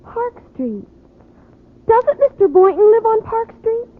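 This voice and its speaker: A woman's voice: extremely high pitch, very animated, takes it slowly, phone-quality sound, exaggerated voice. An actress playing a questioning teenage schoolgirl, the principal's daughter, in a 1940s radio comedy. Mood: curious, pointed. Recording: noisy, thin old radio with audience.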